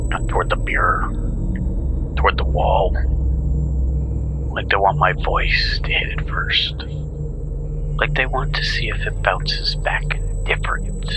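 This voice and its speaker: A man playing an eerie, obsessive confined man in a spooky monologue, murmuring breathy whispers in clipped fragments with long pauses. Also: on the low side, background noise, voice pushed down, exaggerated voice.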